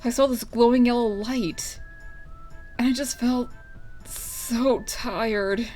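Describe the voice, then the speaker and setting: A woman voicing an earnest, excitable young male beast fighter, cocky and eager, in a story reading. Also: a little high, slow pace, soft background music. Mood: breathless, defensive.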